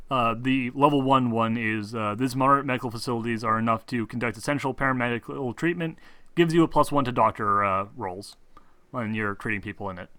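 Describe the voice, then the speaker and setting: Male game master, bright mid-pitched voice, dry recording, explains rules and keeps players in line. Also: dry recording.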